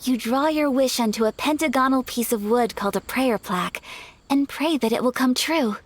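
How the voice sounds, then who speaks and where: A woman's voice: sits high, moderate pace. A woman voicing a refined, gracious young noblewoman who speaks in formal, courteous phrasing. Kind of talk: game dialogue. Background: game music.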